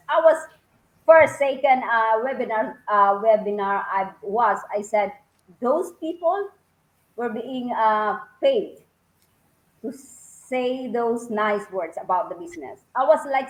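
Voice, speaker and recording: A woman's voice: fairly high pitch, lively intonation, a little slow, echoey room, rough recording. A woman from the Philippines, talking eagerly and rambling with many fillers and restarts on a video call. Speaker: panelist guest. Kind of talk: casual online panel talk.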